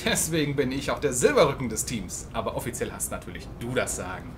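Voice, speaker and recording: A man's voice: medium pitch, big pitch swings, fast talker, over loud music, natural voice. A man playing an earnest, sensible podcaster caught up in an amateur sci-fi audio drama. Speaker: podcast co-host.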